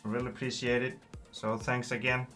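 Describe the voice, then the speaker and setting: Male stream host, mid-pitched, friendly chat-reader over a headset. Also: little pitch movement, a little fast, sharp tone, faint music behind. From Iceland. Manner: thanks viewers, reads chat aloud, simple non-native phrasing. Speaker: livestream host. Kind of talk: casual gaming livestream chat.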